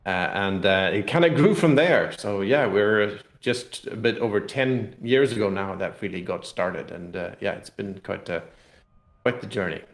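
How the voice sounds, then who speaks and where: In a very echoey room, a man with a Swedish accent talks in a lowish voice. He explains things casually as an interview guest, often restarting and restating his phrases. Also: moderate pace. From Sweden. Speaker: guest, game designer and company head.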